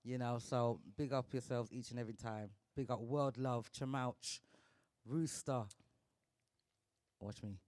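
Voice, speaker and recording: An upbeat man hosts a casual live talk show, trading slangy shout-outs with his listeners. His voice sits at an ordinary pitch and sounds close and dry, with hardly any room behind it. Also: fairly even intonation, unhurried, long pauses, dry recording. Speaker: podcast host.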